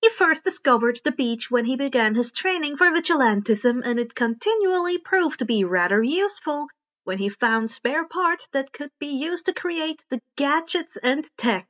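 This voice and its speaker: A woman reading a story aloud as an expressive narrator. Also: high-pitched, normal speed, lo-fi sound. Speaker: podfic narrator reading fan fiction.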